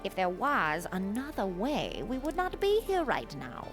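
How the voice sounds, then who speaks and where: A woman playing a haughty, condescending councilor of an otherworldly realm in an audio drama, with formal, drawn-out phrasing. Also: mid-range voice, wildly swooping pitch, over music. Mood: cool, superior.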